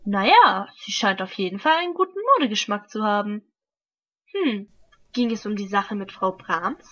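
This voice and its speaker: An actress playing a crime boss's curious young daughter in a German audio drama, casual and inquisitive. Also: female voice, mid-range voice, lively intonation, moderate pace, sharp tone. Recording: dull, noisy home microphone sound.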